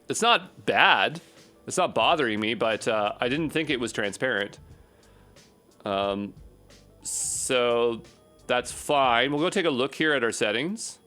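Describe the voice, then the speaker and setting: A man with a mid-pitched voice works through a coding tutorial as an instructor. He talks casually and off the cuff, reasoning aloud as he goes. His sentences ramble and often end in a quick check for agreement. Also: creaky, a bit bright. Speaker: programming instructor. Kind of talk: unscripted screencast coding tutorial, thinking aloud.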